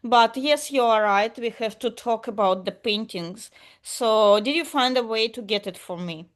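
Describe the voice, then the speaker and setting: A woman voices a wealthy mother obsessed with luxury, speaking in a put-on British accent. She is haughty and boastful, drawing out her words slowly in a fairly high voice. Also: natural voice. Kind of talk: improvised comedy scene.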